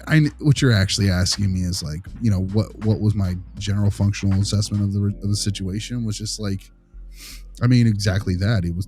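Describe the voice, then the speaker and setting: A man talking through a case as a panelist, casually and with strong opinions, in an emphatic, animated way with rhetorical check-ins. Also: fairly low pitch. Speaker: panelist discussing a behavioral case study.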